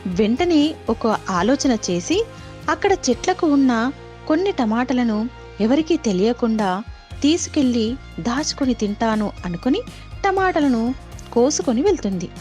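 A woman's voice: fairly high pitch, big pitch swings, measured pace, darkish tone. A woman storyteller reading scripted moral animal tales for children. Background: faint music.

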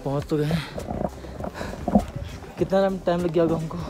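A man's voice: fairly high pitch, fairly even intonation, moderate pace, loud music behind the voice. A man in his twenties hosting a casual travel vlog outdoors on a misty mountain trail. He talks straight to his viewers and asks his companions questions, with the camera held at arm's length on a selfie stick. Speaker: motovlogger host. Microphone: handheld camera on a selfie stick at arm's length. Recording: loud wind noise on camera mic. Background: loud wind and outdoor noise.

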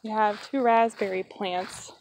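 A woman filming a relaxed, casual tour of her backyard garden on a phone, chatting with plenty of ums. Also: medium pitch, measured pace, vocal fry, slight background noise. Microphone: phone held while walking.

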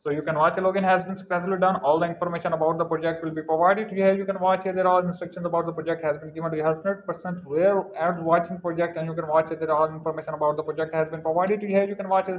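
Fast, flat-toned man narrating a tutorial. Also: fairly high pitch, dull audio. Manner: repetitive, formulaic walkthrough phrasing. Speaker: channel host. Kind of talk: screen-recording tutorial voice-over.

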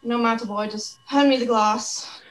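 Girl doing a shifty pirate guy, gruff sailor talk, kinda high voice, radio play. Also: steady tone, moderate pace, natural voice, low-quality recording. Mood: shifty, commanding. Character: shifty seafaring pirate man seeking a shipmate. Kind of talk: amateur radio play acting.

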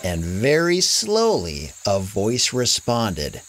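A woman narrating a children's story in an expressive, conversational, casual way. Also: rumbling low, slow, slight background noise.

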